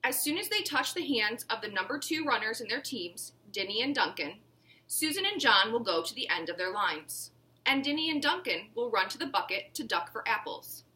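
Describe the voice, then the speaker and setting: A woman's voice: on the high side, very animated, moderate pace, pushed and bright. A woman voicing an emphatic father who explains a party game to children in a storybook. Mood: instructive, rousing. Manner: emphatic, instructive.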